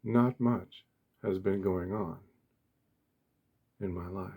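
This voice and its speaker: A man speaking softly and gently in short, deliberate phrases with pauses, as an ASMR host giving a meditation talk. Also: sits low, steady tone. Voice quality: soft, hushed, breathy.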